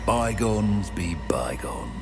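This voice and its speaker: Evil goblin boss, super deep growly voice, cold n mocking, loud music under it. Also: male voice, big pitch swings, creaky, heavily acted. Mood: mocking, sinister. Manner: slow, deliberate, mockingly polite threats. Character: menacing goblin villain, cold, arrogant and impatient. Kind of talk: cinematic video game acting.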